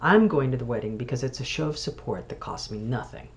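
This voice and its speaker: A woman's voice: a bass voice, animated, fast, slight background noise. A woman reading a blunt, sardonic sister from a novel in a dry, dismissive way.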